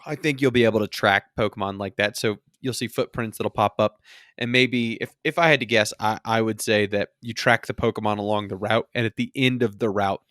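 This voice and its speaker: A man with a slightly low voice co-hosts a casual gaming podcast. He lays out his opinions and explanations informally. The sound is close and dry. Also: steady tone, fairly quick, fairly bright tone, no echo. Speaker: podcast co-host. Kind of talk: casual two-person podcast discussion of a mobile game.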